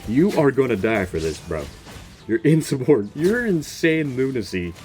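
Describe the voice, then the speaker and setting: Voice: mid-range voice, sing-song, moderate pace, a little mellow, faint music behind. A video game streamer giving casual reaction commentary, with slangy, exasperated interjections, close to the microphone.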